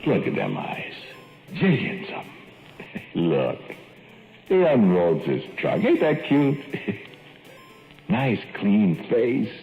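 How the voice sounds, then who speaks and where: A man's voice: fairly low pitch, huge pitch swings, slow pace, gravelly, pauses often, full character voice. An actor playing a creepy, rambling rural man who keeps a giant fly, in 1940s radio acting. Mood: gleeful menace. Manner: folksy, rambling, giggling menace. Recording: tinny old radio broadcast with music.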